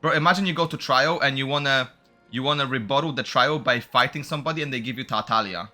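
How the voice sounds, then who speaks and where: Slangy, forceful male game streamer. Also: medium pitch, fast talker, faint music behind. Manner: slangy, excitable, casual. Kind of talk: gaming playthrough commentary, reading dialogue aloud.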